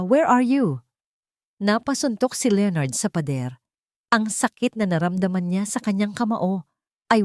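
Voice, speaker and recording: A woman narrating a scripted audiobook drama in a steady, dramatic storytelling manner. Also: lowish voice, no echo, studio quality.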